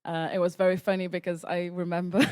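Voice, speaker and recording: A woman's voice: on the low side, little pitch movement, fairly quick, a little mellow, clear, resonant tone, dry recording. A young woman from Switzerland, a guest musician, telling her story in an interview. She laughs often between phrases and uses many ums and restarts. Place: podcast studio.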